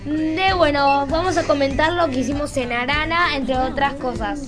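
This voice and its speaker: A woman's voice: high-pitched, fairly even intonation, normal speed, music in the background. A woman chatting casually as co-host of a live radio show.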